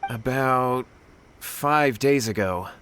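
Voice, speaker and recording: A man's voice: medium pitch, slow pace, a bit bright, slight background noise. Earnest, pleading young townsman. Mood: insistent, worried. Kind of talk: animated video game dialogue. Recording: clear background music.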